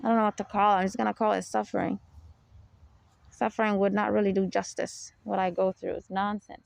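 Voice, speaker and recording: A young woman with a deep, low voice and a gravelly edge talks through a personal podcast. She rambles and confides, and often drops in "you know" and small laughs. The sound is dry and close, with no room around her. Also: flat delivery, fairly quick, dry recording. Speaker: podcast host.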